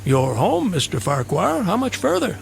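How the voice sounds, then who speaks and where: A man's voice: high voice, wildly swooping pitch, normal speed, creaky, slight background noise, heavily acted. An actor playing a wry, flippant male traveler who likes a drink, in an old-time radio drama, breezy and teasing.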